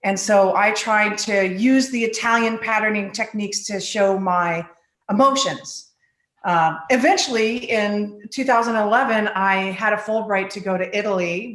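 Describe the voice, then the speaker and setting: A woman from the Bahamas and Virgin Islands, a glass artist giving a casual guided tour in an informal, halting way with pauses between phrases. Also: mid-range voice, fairly bright tone. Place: living room of a home studio. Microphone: phone on a selfie stick. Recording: echoey room, live video stream.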